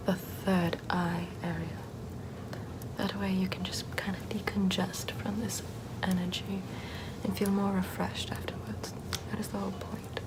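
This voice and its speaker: A woman playing a sardonic witch healer in an ASMR roleplay, soft-spoken and often whispering in a conversational way. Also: mid-range voice, natural voice, poor audio. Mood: soothing. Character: sardonic Puritan-era witch, chatty, scornful yet soothing healer. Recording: clear background ambient noise.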